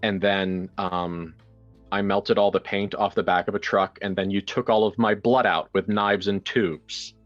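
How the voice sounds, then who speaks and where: A man playing a weary, sardonic, not-quite-human man with a dry deadpan delivery in a horror roleplaying game. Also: low-pitched, unhurried, muffled recording, faint music behind. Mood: weary sarcasm.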